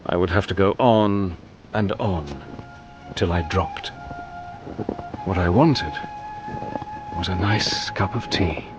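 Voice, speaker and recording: A man's voice: sits low, takes it slowly, long pauses, background noise. A man giving earnest, reflective first-person narration for a radio drama.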